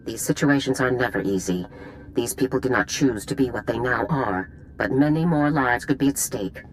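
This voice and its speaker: A woman's voice: a bass voice, moderate pace, a little hiss or hum, poor audio. A woman playing a stern army general who gives orders in a clipped, unbending way in a video game cutscene. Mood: commanding. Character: stern female general, cold and commanding.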